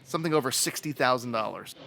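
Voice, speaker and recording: An actor playing a matter-of-fact police sergeant in an old-time radio detective drama, with a dry, procedural, folksy way of talking. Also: male voice, medium pitch, animated, quick pace, gravelly, sharp tone, soft background music. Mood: speculating.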